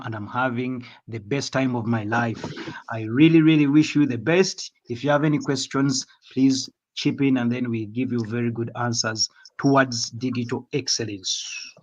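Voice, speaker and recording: A man's voice: medium pitch, fairly even intonation, moderate pace. Cheerful man on a dull-sounding call. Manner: cheerful, encouraging. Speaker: panelist. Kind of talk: casual panel chat. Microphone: video call.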